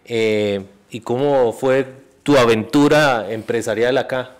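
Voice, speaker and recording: A man's voice: medium pitch, takes it slowly. A man co-hosting a podcast, asking the guest curious, direct questions in a casual tone.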